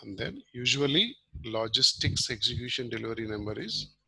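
A man giving an informal screen-share software tutorial as a trainer, explaining step by step in halting short phrases. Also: medium pitch, animated, creaky, husky, no echo, low-quality recording.

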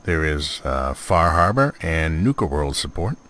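Very deep-voiced man, casual game commentary. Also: big pitch swings, measured pace, a bit bright. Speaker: video host, mod reviewer.

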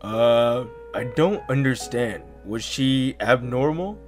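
A tough male cartoon echidna, voiced by a woman, low and gruff with a slow, swinging delivery. He is blunt, boastful and ready to fight, with music behind him. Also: lively intonation, full character voice. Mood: puzzled. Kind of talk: cartoon comic dub acting.

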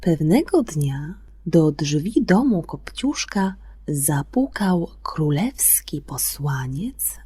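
A woman telling a children's fairy tale as scripted narration, expressive and gentle, with deliberate phrasing. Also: low-pitched, a little hiss or hum. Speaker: storyteller on a children's radio programme.

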